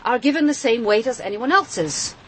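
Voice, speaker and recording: A woman's voice: on the high side, animated, normal speed, background noise, lightly acted. An actress playing an earnest, perceptive policewoman in a radio crime drama, thoughtful and a little hesitant. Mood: firm, indignant.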